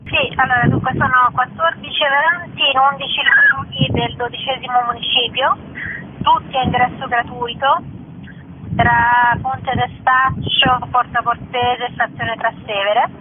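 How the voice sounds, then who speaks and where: A woman's voice: sits high, fairly even intonation, unhurried. Woman on a noisy, tinny phone line. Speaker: event organiser, interview guest. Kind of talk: informal phone interview. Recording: tinny phone line with clear background noise.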